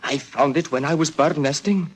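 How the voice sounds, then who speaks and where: A man's voice: on the high side, normal speed, close to an everyday voice. An actor playing a childlike, simple-minded village man who loves birds, in sing-song wonder, in 1940s radio style. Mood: dreamy delight. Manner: sing-song wonder, talks of himself by name. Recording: old noisy 1940s radio recording, clicks.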